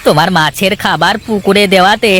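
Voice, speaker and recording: A man's voice: high voice, a little fast, fairly bright tone, a little hiss or hum. A man playing a hapless, plaintive younger brother, a village fish farmer, in a cartoon. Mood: despairing. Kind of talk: cartoon voice acting.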